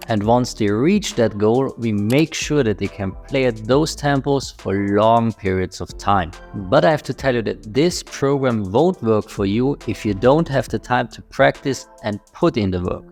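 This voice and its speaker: A man's voice: mid-range voice, lively intonation, measured pace, hardly any pauses, a little mellow, soft background music. A man with an Austrian accent, a drum coach speaking frankly to his channel's viewers. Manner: frank, matter-of-fact. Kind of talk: direct-to-camera channel talk.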